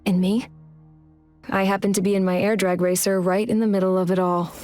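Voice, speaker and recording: A woman's voice: mid-range voice, flat delivery, a little fast, lightly acted. An actress playing a world-weary female pilot, narrating a war in the first person with a reflective, wry, matter-of-fact manner. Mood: wry, bitter. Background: music with guitar.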